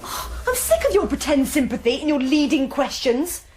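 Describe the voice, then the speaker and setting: High, scornful young English student. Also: female voice, very animated, normal speed, darkish tone, slight background noise. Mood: defiant. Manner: scornful, cutting. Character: bitter, defiant young female student. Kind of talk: radio drama acting.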